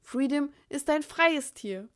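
A woman voicing a nervous young male cowboy in a storybook reading, higher and stammering. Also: lively intonation, slow pace. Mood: defiant.

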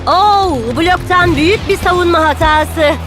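A woman playing an excitable football commentator calling the play in a cartoon. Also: very high voice, measured pace, over music. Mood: excited.

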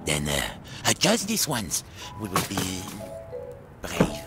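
Man doing a hermit crab, male, bossy, vain, fussy, gravelly, swooping pitch, storybook, music behind. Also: huge pitch swings, slow, full character voice. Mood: reluctant. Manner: rude, fussy, commanding. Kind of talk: storybook character voice.

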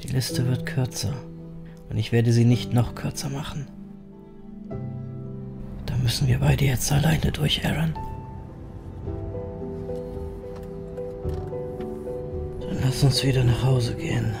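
An actor playing a weary, grim ferryman who narrates his own story in an audio drama, brooding and measured. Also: medium pitch, fairly even intonation, creaky, music in the background. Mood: brooding, resigned.

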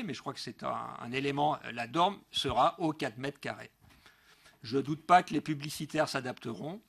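A man's voice: fairly high pitch, vocal fry, a bit bright, slightly rough. A middle-aged man delivering a prepared political speech at a council meeting in a formal, emphatic manner, stumbling now and then as he reads. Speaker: local elected councillor. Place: large council meeting room, indoors. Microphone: gooseneck desk microphone.

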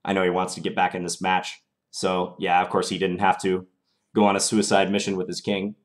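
Guy with a mild minnesota accent streaming chess from home, low voice, muttering his moves at a desk webcam. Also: completely flat delivery, a little fast. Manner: thinking aloud, muttering moves. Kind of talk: live chess commentary while playing. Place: small indoor room at home.

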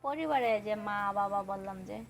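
A woman's voice: mid-range voice, unhurried, slight background noise. A young woman from Kishoreganj with a Bangladeshi accent, speaking colloquially as a guest in a personal interview. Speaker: interview guest seeking a husband.

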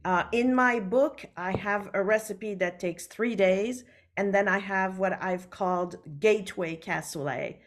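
A woman from Switzerland whose first language is French, an author talking over a video call from her book-lined study, unhurried and full of fillers. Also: mid-range voice. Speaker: author guest. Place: home study with full bookshelves. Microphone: laptop webcam on video call.

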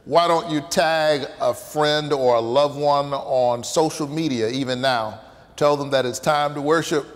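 Pastor in a reverberant hall. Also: male voice, a little high, measured pace. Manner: warm preacherly cadence, stretched phrases. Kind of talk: pastoral welcome and announcements. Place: indoors, church hall with blurred backdrop. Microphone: lapel.

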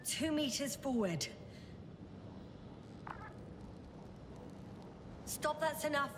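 High-voiced wry space scientist. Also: female voice, animated, moderate pace, background noise. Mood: focused. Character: composed, wry female space scientist exploring a planet. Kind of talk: video game voice acting.

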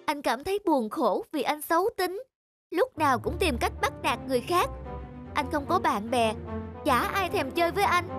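A tiny cartoon songbird, good-natured and chirpy. The voice is very high and swings in pitch, earnest in manner. Music runs clearly behind it. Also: animated, moderate pace. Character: small cheerful, chirpy, good-natured little sparrow. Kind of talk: cartoon dub acting. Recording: music under the voice.

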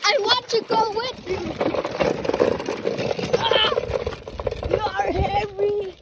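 A woman's voice: very high voice, fairly even intonation, drawn-out words, heavy background noise. A woman chatting playfully in a casual vlog, rambling and stumbling over her words. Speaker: vlogger.

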